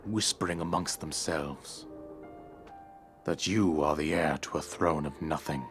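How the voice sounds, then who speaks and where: A man's voice: rumbling low, a little slow, soft background music. A man voicing a stern king scolding his daughter in a game cutscene, commanding and severe. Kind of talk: video game cutscene voice acting.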